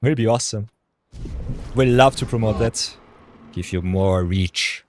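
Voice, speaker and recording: A man with a deep voice streaming a game, chatting casually with his viewers and musing over his choices as he plays. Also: a little slow, a little mellow, slight background noise. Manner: chatty, talks to chat viewers, hums and mutters while thinking. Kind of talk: casual live-stream chat over gameplay.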